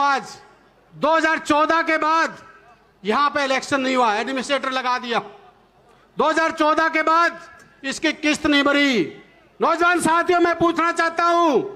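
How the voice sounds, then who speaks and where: A man's voice: extremely high pitch, pushed and bright. A man with a Haryanvi accent delivers a fiery political rally speech as a community leader, defiant and emphatic, repeating himself and throwing out rhetorical questions.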